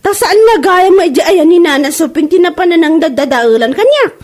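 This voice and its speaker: A woman playing an aggrieved, quick-tempered seller in a comedy drama, with an Ilocano accent. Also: very high voice, animated, darkish tone. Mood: angry, aggrieved.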